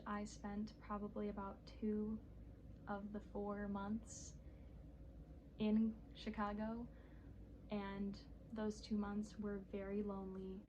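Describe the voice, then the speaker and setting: A young woman telling her own story in a personal documentary, earnest and quietly sad, then interviewing others. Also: medium pitch, noisy background. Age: young adult. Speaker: student filmmaker and interviewer.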